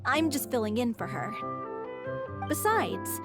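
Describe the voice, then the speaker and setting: A kind young woman in a game, voice on the high side, polite and earnest, quietly determined. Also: big pitch swings, a little slow, over music. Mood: sincere, grateful. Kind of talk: video game voice acting.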